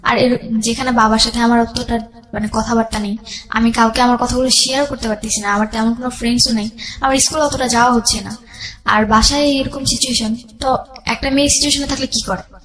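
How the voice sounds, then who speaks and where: A young woman telling a personal life story as a guest, haltingly, repeating herself and mixing in English words. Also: fairly high pitch, fairly even intonation, fairly bright tone, low-quality recording.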